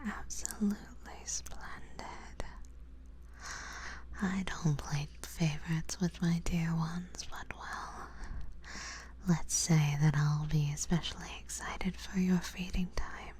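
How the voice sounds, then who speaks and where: A woman playing a doting, possessive, genteel vampire in a whispered ASMR roleplay, speaking softly and coaxingly in a breathy whisper. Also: low-pitched, fairly even intonation, takes it slowly. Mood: pleased, doting. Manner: soft, coaxing, genteel, indulgent.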